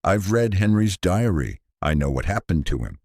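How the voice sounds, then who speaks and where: A scared young woman who speaks in a pleading, anxious way, voiced by a man. Her lines sit above a deep reading voice. Close and dry. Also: big pitch swings, moderate pace, a little mellow, no echo, full character voice.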